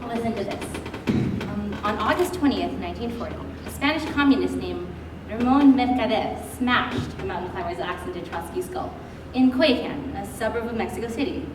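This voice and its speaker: A woman's voice: mid-range voice, brisk, lightly acted. A young woman acting a patient, matter-of-fact wife in a comedic stage play. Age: young adult. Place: school stage, recorded from the audience. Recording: very echoey noisy hall, distant mic.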